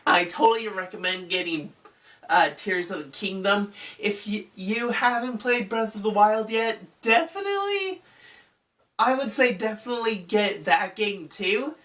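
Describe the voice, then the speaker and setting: Young woman vlogger, stuffy and congested, unhurried rambling chat, a bit off-mic. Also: mid-range voice, animated, fairly bright tone, distant mic. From Utah. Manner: chatty, rambling, lots of "like" and "I mean". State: congested from a cold. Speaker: gaming channel host. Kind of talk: casual game recommendations.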